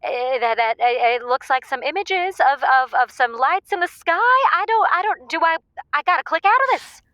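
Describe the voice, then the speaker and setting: A woman playing an excitable, dramatic radio caller obsessed with secretive lovers, in a comedic audio drama. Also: high-pitched, moderate pace, no echo, dull audio. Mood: agitated. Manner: gushing, dramatic, emphatic delivery.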